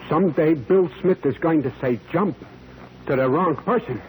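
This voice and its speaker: A man's voice: fairly high pitch, moderate pace, lightly acted. An actor playing a reproachful, indignant man in 1940s radio style, on a noisy old recording.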